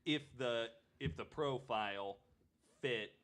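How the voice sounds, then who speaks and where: A man's voice: medium pitch, slow pace, fairly bright tone. A man on a podcast panel chatting casually and thinking aloud, informal and a bit wry. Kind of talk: casual chat, speculating aloud.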